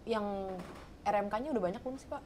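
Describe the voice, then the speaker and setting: Woman, host, quick speech, chatty, prods with questions. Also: fairly high pitch, a crowd in the background. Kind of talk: casual panel talk.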